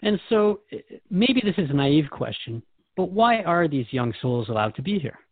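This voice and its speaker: A man's voice: fairly high pitch, very animated, normal speed, no echo, phone-quality sound. A man hosting a conversational interview, asking questions in a wry, candid way. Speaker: host and questioner.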